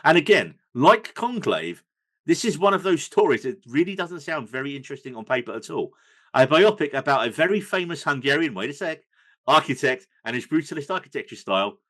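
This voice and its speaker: A man's voice: fairly high pitch, big pitch swings, a little fast, fairly bright tone. A man hosting a podcast, chatting about films in a rambling, enthusiastic way with plenty of fillers. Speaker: podcast host. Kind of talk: casual film review chat.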